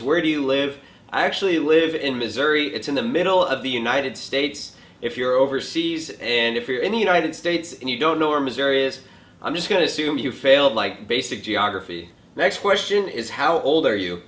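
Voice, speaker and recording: A twenty-year-old man hosting a casual question and answer vlog from a home kitchen, relaxed and joking, with lots of filler likes. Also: medium pitch, moderate pace. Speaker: fitness vlogger answering viewer questions. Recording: echoey room.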